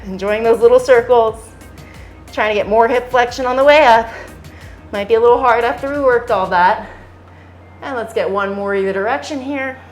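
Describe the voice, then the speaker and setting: Slightly hoarse woman cueing a workout. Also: on the high side, moderate pace, pauses often, faint music behind. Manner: calm step-by-step exercise cues. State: hoarse from singing at a concert. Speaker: fitness instructor.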